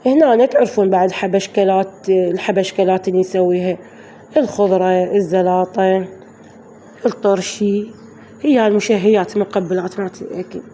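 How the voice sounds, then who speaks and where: A woman with an Iraqi Arabic accent, hoarse from a flu, chatting through a voice-over of her daily home routine for her viewers. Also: mid-range voice, fairly even intonation, brisk, dark tone, slight background noise. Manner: chatty, addresses viewers as girls. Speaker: vlogger.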